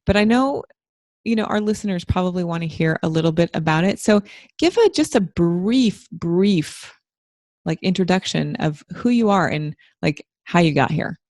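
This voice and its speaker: A woman hosts a podcast in a loose, rambling way, often poking fun at herself. Her voice sits low with a gravelly edge, and the recording is dry and close. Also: lively intonation, normal speed, a little mellow, no echo. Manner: chatty, rambling, self-deprecating. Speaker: podcast host. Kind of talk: casual podcast interview.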